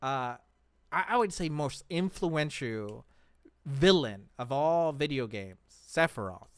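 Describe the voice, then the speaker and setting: A man's voice: a little high, animated, takes it slowly, smooth, no echo, clean studio sound. A man co-hosting a casual chat show, talking enthusiastically and emphatically about his favourites. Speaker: podcast co-host.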